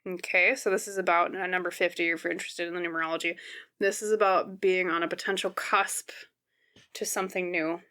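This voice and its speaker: Woman, somewhat low pitch, a tad scratchy, conversational tarot reader, gentle explaining. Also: little pitch movement, fairly quick. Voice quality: slightly scratchy. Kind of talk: casual, conversational tarot card reading to viewers.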